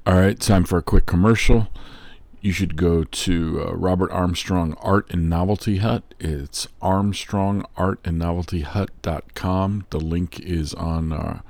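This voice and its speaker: A man's voice: low-pitched, fairly even intonation, unhurried, creaky, a little mellow, no room sound. An American man hosting a show in a casual, conversational style. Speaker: host. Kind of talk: casual chat.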